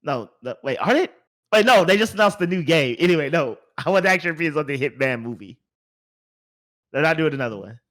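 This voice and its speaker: A man's voice: fairly high pitch, expressive, fairly quick, fairly bright tone. A man hosting a podcast in a casual, conversational way. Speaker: podcast host.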